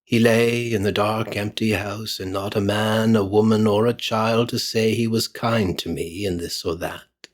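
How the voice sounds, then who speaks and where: A man narrating a Victorian story as an audiobook reader, theatrical and solemn, with deliberate phrasing. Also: on the low side, fairly even intonation. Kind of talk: dramatic scripted narration of Victorian fiction.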